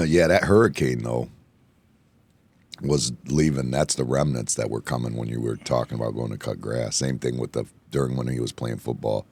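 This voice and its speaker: Gravelly, hoarse low-voiced man rambling. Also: moderate pace, slight background noise. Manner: rambling, excitable, repeats himself. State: hoarse from yelling. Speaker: podcast host. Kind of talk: casual bar chat. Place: indoor room, bar setting.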